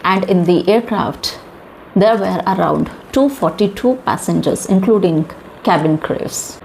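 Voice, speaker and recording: A woman with an Indian accent giving informal news commentary in halting, emphatic short phrases, often posing rhetorical questions. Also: on the low side, a little mellow, low-quality recording. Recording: clear background noise.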